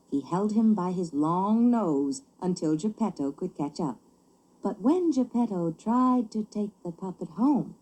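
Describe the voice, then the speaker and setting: A woman reading a children's story as scripted narration, with an expressive, theatrical delivery. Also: medium pitch, rough recording.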